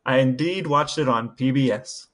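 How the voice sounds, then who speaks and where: A man chatting casually as a podcast co-host, with a wry, deadpan manner. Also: medium pitch, moderate pace.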